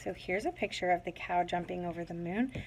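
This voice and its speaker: A woman's voice: on the low side, brisk, slight background noise. A woman teacher doing a read-aloud lesson for young children, speaking in simple, instructive phrases. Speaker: teacher reading a picture book aloud to young pupils.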